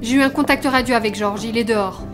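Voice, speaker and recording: A woman playing a brisk, rule-minded ski resort employee, businesslike and categorical, in a comic audio drama. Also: fairly high pitch, faint music behind, natural voice. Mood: matter-of-fact. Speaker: amateur voice actor in an audio series.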